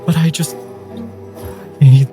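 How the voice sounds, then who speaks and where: A man voicing a frightened, hesitant woman in a tabletop roleplaying game, speaking haltingly. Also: a little high, fairly even intonation, mellow, faint music behind, pitched above the performer's own voice. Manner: halting, fearful. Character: frightened, hesitant woman fleeing her house.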